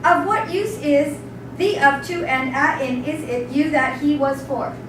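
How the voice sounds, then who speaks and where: A woman's voice: high-pitched, measured pace. An actress playing an obedient, baffled female pupil who recites by rote in a live stage reading. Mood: dogged. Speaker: stage actor in a dramatic reading. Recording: echoey hall from far off, noisy.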